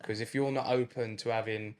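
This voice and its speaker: A man with a somewhat low voice hosts a relaxed podcast chat. He talks in an easy, jokey and outgoing way. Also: fairly quick, clear, resonant tone. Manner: jokey, extroverted, chatty. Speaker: podcast host.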